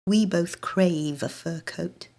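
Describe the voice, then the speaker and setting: A woman with a British accent reading a literary short story in a measured, expressive, dramatic way. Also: lowish voice. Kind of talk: literary short story narration, first person.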